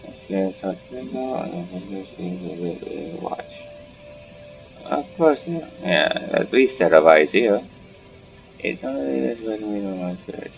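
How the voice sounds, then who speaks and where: Slow, gravelly man muttering game commentary. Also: low-pitched, expressive, highs cut off, background noise. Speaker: gaming host. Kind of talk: casual playthrough commentary. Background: game music.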